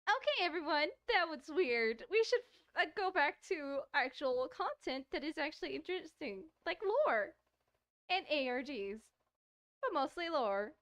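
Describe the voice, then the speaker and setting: Female game streamer, high register, playful and casual, close dry sound. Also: sing-song, unhurried, a bit bright, no room sound. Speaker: streamer playing a visual novel. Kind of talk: casual let's-play commentary, reading game text aloud.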